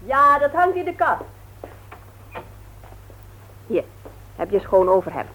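A woman's voice: sits high, very animated, slow, a little hiss or hum, full character voice. An actress with a Dutch accent playing a busy, exasperated wife and mother in a domestic radio drama. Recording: thin phone-like old radio recording.

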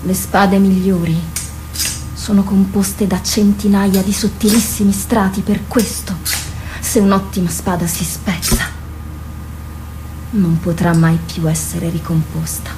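A woman's voice: mid-range voice, flat delivery, measured pace, warm tone, close to an everyday voice. An actress playing a protective, jealous dead woman in a radio drama, pleading and reproachful, with intense theatrical delivery. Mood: solemn. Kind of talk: Italian radio drama acting. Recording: clearly noisy radio drama recording.

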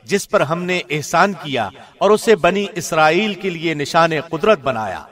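A man reads a scripture translation formally, with the measured diction of a radio presenter. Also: on the high side, expressive, fairly bright tone. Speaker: translation reader, radio host.

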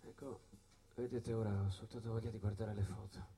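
Actor as low-voiced, battered, brooding jazzman. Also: little pitch movement, mellow, soft background music, natural voice. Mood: subdued, weary. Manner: halting, brooding, repeating words. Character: battered, broken jazzman recalling menace at a diner. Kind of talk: theatrical monologue.

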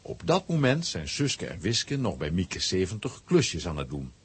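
A man's voice: deep voice, wildly swooping pitch, normal speed, husky, heavily acted. A man narrating a scripted children's audio drama as its storyteller. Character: storyteller relating a comic adventure.

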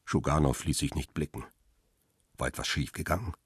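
An actor playing a grim male photographer who narrates a crime radio drama in a measured, terse, husky voice. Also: very deep voice, no room sound, clean studio sound, full character voice. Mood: grim, tense. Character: middle-aged male photographer Peter Lime, grim first-person narrator.

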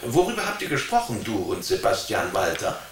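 A man's voice: on the low side, wildly swooping pitch, a little fast, echoey room, recorded from a distance, a little hiss or hum. An actor playing a taunting male police investigator, smug and needling, in a radio drama interrogation. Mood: dry, probing. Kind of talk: German radio drama acting.

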